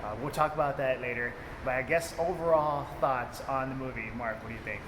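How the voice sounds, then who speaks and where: A man's voice: medium pitch, brisk. A man co-hosting a casual, unscripted movie review, talking in a rambling, informal, enthusiastic fan's way. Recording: echoey reverberant room, clear background noise.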